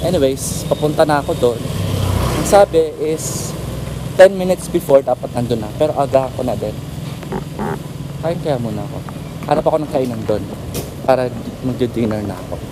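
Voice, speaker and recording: A man's voice: mid-range voice, normal speed, pauses often. A man with a Filipino accent chatting casually as a travel vlogger, mixing Tagalog and English. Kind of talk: casual vlog chat in Tagalog-English mix. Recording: very noisy outdoor street with traffic.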